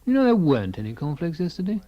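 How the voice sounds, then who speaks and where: A man's voice: a little high, sing-song, fast talker, highs cut off. An actor playing a fussy, self-absorbed husband in a radio comedy-drama, rambling and savouring small things, stoic about his creeping paralysis. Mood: wistful relish.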